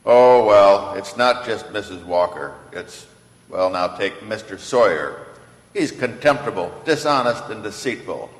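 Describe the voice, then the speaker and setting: A man's voice: lowish voice, lively intonation, a little slow. An actor playing a kindly, emphatic old man who believes he is Santa, in an old-time radio play. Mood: hurt, indignant. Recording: echoey hall with long reverb.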